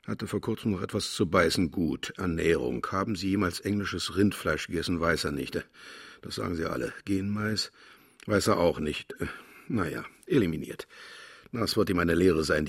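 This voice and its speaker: An actor in a German radio play voicing a grumpy, vain aging official, curt and disdainful. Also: male voice, low voice, breathy edge, full character voice. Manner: curt, muttering, disdainful, indignant when challenged. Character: grumpy, vain, aging male official sorting human candidates.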